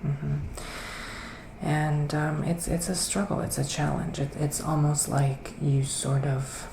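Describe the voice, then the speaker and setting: A man playing a gentle psychic witch in a soft ASMR roleplay, partly whispering, with hesitant, drawn-out phrasing. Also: fairly high pitch, flat delivery. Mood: soothing, pensive. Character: gentle male witch giving psychic tarot readings. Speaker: ASMR performer. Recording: roomy echo, clear background noise.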